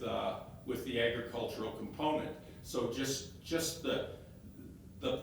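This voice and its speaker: A man with a loud voice presents slides to a meeting, stumbling and restarting phrases as he goes. He is heard from some way off in a very echoey room, with a faint rumble underneath. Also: far from the mic. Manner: halting, repeats words and restarts phrases. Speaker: elected county councillor. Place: meeting room, stepping back from a fixed mic.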